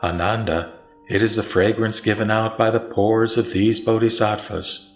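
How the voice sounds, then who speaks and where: A man playing a calm, authoritative Buddha in a scripture audio drama, measured and solemn. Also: on the low side, echoey room, natural voice. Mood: calm, instructive. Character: calm, authoritative Buddha teaching his disciples. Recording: background music under the voice.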